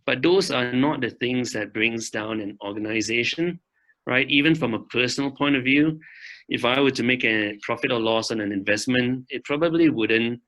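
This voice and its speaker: A middle-aged man from Malaysia giving an online training talk as a guest speaker, pausing often with "um" and tagging lines with "right?". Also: medium pitch, fairly bright tone, low-quality recording. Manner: halting, many "um"s and tag "right?". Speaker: guest speaker, bank executive. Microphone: video call.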